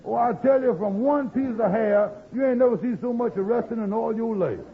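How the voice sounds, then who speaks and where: Blustering schemer, high-pitched, gravelly, folksy, pompous, radio comedy, tinny sound. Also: male voice, brisk, noisy background. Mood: boastful. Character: blustering, folksy schemer, bogus know-it-all. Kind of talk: 1950s radio comedy acting. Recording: tinny old radio recording.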